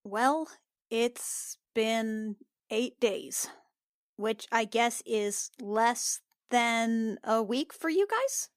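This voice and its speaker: A woman's voice: on the high side, drawn-out words, dry recording, studio quality. A woman playing an awkward, apologetic celestial-blooded healer in a tabletop game, hesitant and fumbling for words. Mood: hesitant, careful.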